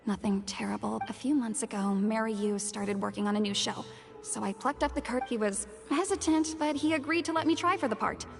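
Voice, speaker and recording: A woman's voice: fairly high pitch, brisk, gravelly. A woman voicing an earnest, modest young actress in a video game, with a sincere, polite delivery. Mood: earnest, subdued. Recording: long reverb, music behind.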